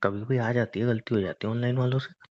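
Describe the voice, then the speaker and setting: A man's voice: medium pitch, fairly even intonation, quick pace, a little mellow, natural voice. A young man playing a lazy, evasive boyfriend in an acted phone-call drama, making casual, whiny, defensive excuses. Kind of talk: acted phone-call conversation between a couple.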